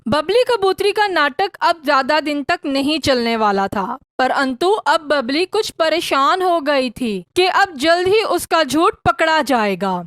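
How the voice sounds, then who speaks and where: A woman narrates a scripted story for children. Her voice is very high and she takes her time over each phrase. The sound is close and dry. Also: lively intonation, a little slow, few pauses, a bit bright, no room sound.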